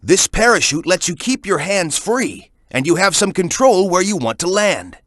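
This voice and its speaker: A man's voice: high-pitched, animated, normal speed, a bit bright, no echo, studio quality. A man voicing a cocky, tough young echidna hero in a video game, giving hints in a confident, punchy, instructive manner. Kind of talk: video game voice acting, tutorial hints and banter.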